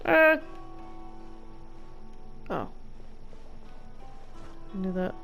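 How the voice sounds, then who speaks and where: A woman's voice: medium pitch, sing-song, extremely slow, gravelly, fairly bright tone, faint music behind. A woman doing casual live gameplay commentary, reacting to the story with wry, slangy remarks. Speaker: gameplay streamer.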